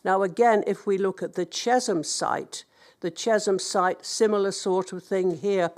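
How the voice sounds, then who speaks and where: A woman's voice: mid-range voice, fairly even intonation, a little slow, darkish tone. A woman councillor with a blocked-up nose from a cold, making an earnest, hesitant statement at a public hearing. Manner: earnest, hesitant, with many ums and restarts.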